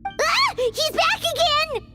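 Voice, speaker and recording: A woman voicing a tiny, excitable childlike companion sprite in a video game, with a squeaky, exclamatory delivery. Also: slow pace, faint music behind, full character voice. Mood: alarmed. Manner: exclamatory, speaks in third person. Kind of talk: cartoonish video game voice acting.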